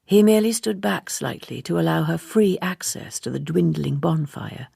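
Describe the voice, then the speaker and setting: Low, creaky-voiced woman reading a story. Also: animated, normal speed, dark tone, dry recording, studio quality. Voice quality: husky, creaky. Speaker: audiobook reader. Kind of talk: scripted literary narration for radio.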